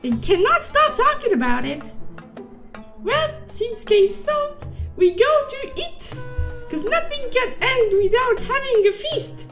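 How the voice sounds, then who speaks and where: Very high-pitched, silly cartoon unicorn. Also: female voice, big pitch swings, takes it slowly, a bit bright, faint music behind, heavily acted. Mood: playful, gossipy. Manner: playful, exclaiming, mock-dramatic. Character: silly, excitable cute female cartoon unicorn storyteller. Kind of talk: comic scripted story recap narration.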